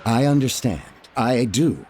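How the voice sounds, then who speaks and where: A man's voice: medium pitch, expressive, a little slow, darkish tone, a little hiss or hum, natural voice. A man voicing a deferential merchant in a video game, formal and anxious to please. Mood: placating, uneasy. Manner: formal, placating. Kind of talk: video game character acting. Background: music.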